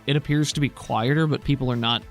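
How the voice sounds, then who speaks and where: A man improvising scene descriptions as a game master, measured and pausing between phrases. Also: medium pitch, steady tone, faint music behind. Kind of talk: improvised tabletop game narration.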